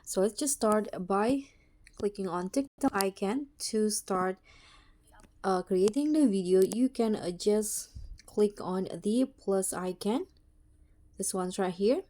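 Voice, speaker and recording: A woman hosts a casual how-to walkthrough in a soft-edged, mellow voice. She moves slowly through each step, with a halting, slightly hesitant delivery. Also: medium pitch. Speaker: tutorial host. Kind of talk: casual step-by-step app tutorial narration.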